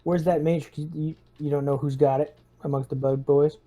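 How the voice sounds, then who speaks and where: A man's voice: fairly high pitch, level tone, a little fast, mellow, natural voice. A man playing a blunt, hostile interrogator who presses captives with curt questions in a tabletop roleplay.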